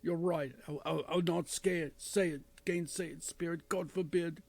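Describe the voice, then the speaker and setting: A man's voice: a little high, steady tone, normal speed, vocal fry, a little mellow. A man voicing an old miser crying out. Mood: pained. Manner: cries out with strong emotion.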